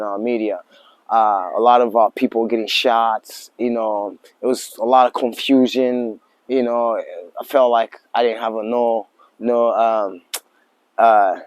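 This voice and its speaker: A man in his forties from Tanzania, a lodge owner speaking as an interview guest in a relaxed, halting way with many fillers and restarts. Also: medium pitch, no echo. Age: 40s. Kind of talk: casual interview talk.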